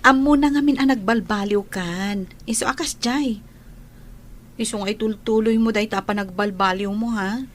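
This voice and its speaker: A woman's voice: mid-range voice, moderate pace, a little hiss or hum, close to an everyday voice. A woman playing a tender young unmarried woman being courted, in Ilocano radio drama style with an Ilocano Filipino accent. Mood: gently questioning.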